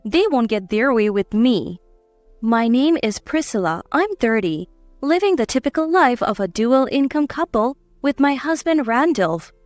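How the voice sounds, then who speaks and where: A woman tells a scripted first-person story. Her voice is high and very animated, recorded dry with faint music underneath. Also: unhurried, no echo. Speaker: story narrator.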